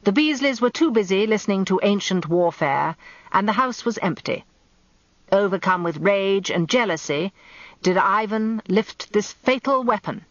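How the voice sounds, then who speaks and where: A woman voicing a shrewd, theatrical old male barrister in measured courtroom oratory with dramatic pauses, for radio drama. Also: mid-range voice, animated, dry recording, lo-fi sound, natural voice. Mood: dramatic, building.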